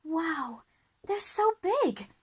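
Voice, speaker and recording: A woman's voice: very high-pitched, big pitch swings, very slow, pitched above the performer's own voice, exaggerated voice. A woman playing an outspoken, cautious schoolgirl in a children's audio drama. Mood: amazed.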